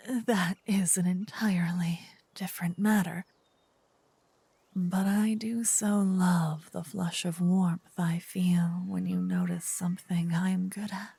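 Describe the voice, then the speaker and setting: A woman's voice: lowish voice, warm tone, no echo, full character voice. A woman playing a possessive demon queen, doting yet wrathful, in a whispery ASMR fantasy roleplay with grand, theatrical phrasing. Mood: teasing, amused. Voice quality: breathy, whispery.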